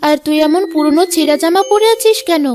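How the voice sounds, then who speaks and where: A woman's voice: very high voice, quick pace. A woman voicing a blunt, gluttonous, demanding grown daughter in a cartoon. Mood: indignant. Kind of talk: Bengali cartoon dub. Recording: music behind the voice.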